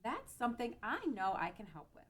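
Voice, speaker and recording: A woman's voice: fairly high pitch, wildly swooping pitch, a little fast. A woman playing a friendly, hesitant young farm girl in an amateur fantasy table read, chatty and unsure. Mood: wistful. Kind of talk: amateur table read of a game fan script.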